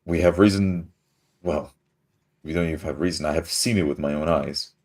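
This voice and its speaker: Very deep-voiced male roleplayer. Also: fairly even intonation, moderate pace, a little mellow. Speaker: tabletop game player. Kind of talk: in-character tabletop roleplay.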